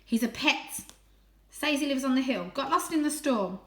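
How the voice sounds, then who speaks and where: A woman's voice: sits high, lively intonation, normal speed, a bit bright, reverberant, voice pushed up. A woman voicing a guarded female street cat in a storybook, with a curt, scornful and snappish manner.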